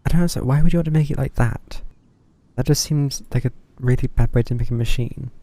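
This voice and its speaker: A man's voice: medium pitch, fast talker, dark tone, dry recording. A man streaming a game, commenting casually in a questioning, musing way and reading text aloud.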